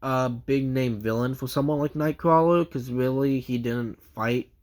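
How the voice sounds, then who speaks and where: A man's voice: mid-range voice, measured pace, a little mellow. A man with a stuffy nose from a cold, giving a casual, rambling comic book review full of fillers and restarts.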